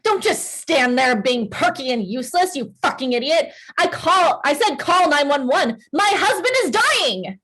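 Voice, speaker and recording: A woman playing a blunt, exasperated, jealous wife with a husky voice in a screenplay table read. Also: mid-range voice, animated, moderate pace, rough recording. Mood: panicked fury. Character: sharp, hurt, jealous wife, furious in crisis.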